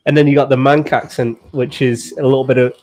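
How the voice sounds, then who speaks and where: A man with a northwest English accent, co-hosting a podcast in casual chat, chatty and quick to laugh. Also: medium pitch, little pitch movement, a little mellow. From England. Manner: chatty, laughs often, breaks into quick voice impressions. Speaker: podcast co-host.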